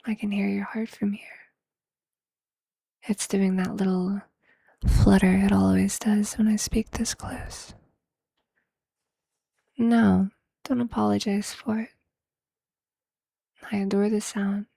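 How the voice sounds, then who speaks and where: A performer voicing a possessive, tender vampire lover in an intimate, breathy ASMR roleplay whisper, with a hushed, seductive and affectionate manner. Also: mid-range voice, flat delivery, dry recording. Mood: possessive, adoring. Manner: slow, hushed, seductive, affectionate, formal wording.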